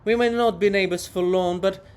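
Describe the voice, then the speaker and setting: A man's voice: high voice, fairly even intonation, normal speed, a little mellow, clear, resonant tone, pitched above the performer's own voice, close to an everyday voice. A man playing an indignant, haughty monument of a writer, declaiming and scolding in a theatrical reading. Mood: loud, indignant.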